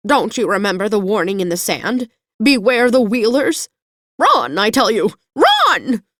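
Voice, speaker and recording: A woman's voice: mid-range voice, sing-song, unhurried, fairly bright tone, dry recording, clean studio sound, pitched above the performer's own voice. A woman voicing a fussy, anxious female hen with a prim, skeptical manner for an audiobook. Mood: terrified. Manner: prim, skeptical, easily alarmed. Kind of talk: audiobook character voice.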